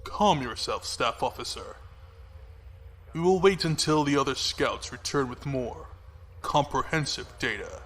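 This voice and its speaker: Man as a sharp, commanding fleet commodore. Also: mid-range voice. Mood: cold, composed. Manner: deliberate, commanding, formal. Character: cold, composed, menacing male imperial fleet commodore. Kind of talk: science fiction audio drama. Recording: noisy ship-like ambience behind.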